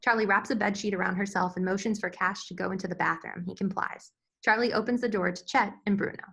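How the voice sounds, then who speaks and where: A woman's voice: mid-range voice, fairly even intonation, fast, vocal fry, fairly bright tone, breathy edge. Female narrator for a screenplay table read, medium register, delivering scene directions.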